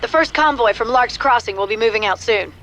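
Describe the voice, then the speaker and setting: An actress playing an earnest doctor who leads a besieged colony in a video game, formal and urgent. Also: female voice, on the high side, brisk, a bit bright, slight background noise, natural voice, low-quality recording.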